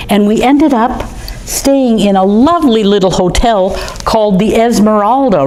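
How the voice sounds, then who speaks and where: Woman storytime reader in a museum gallery; friendly, chatty picture-book reading aimed at children, mid-pitched voice. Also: darkish tone. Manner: chatty, friendly, speaks straight to young kids. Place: art museum gallery, indoors.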